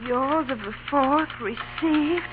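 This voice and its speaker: An actress playing a harried, obliging office secretary in an old radio commercial. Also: female voice, high voice, slow pace. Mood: plodding. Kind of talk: 1930s-40s radio commercial acting. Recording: tinny, noisy old radio, mains hum.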